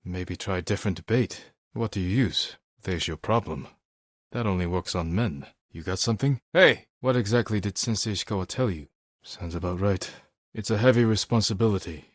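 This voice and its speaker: An actor playing a stoic, honorable young samurai warrior in a video game, speaking in a measured, terse and earnest way. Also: male voice, lowish voice, dry recording, studio quality, natural voice. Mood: firm, alert, then solemn, reflective.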